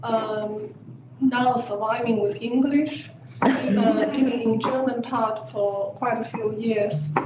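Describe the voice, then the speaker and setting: A woman's voice: on the high side, fairly even intonation, takes it slowly. A woman from China speaking casually as an attendee at a meeting. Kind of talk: casual self-introduction and questions. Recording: echoey room, loud background noise.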